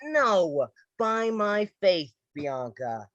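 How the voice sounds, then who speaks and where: A woman playing a courteous but evasive young male officer in a Shakespearean radio play, with a husky voice. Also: lowish voice, very animated, extremely slow, fairly bright tone, dry recording, lo-fi sound, exaggerated voice. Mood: impatient.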